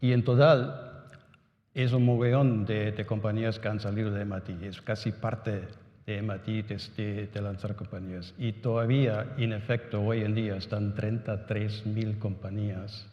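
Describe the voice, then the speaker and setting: A man's voice: lowish voice, flat delivery, muffled recording. Hesitant man, echoey conference hall. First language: German and Italian. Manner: halting, many restarts and "¿no?" tags. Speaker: conference speaker. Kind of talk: symposium talk. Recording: echoey hall with long reverb.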